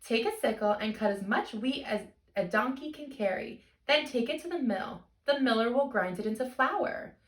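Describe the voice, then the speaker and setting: A woman voicing a busy, practical storybook mother who gives firm, clear instructions, in a roomy recording. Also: on the high side, animated, normal speed, few pauses, natural voice.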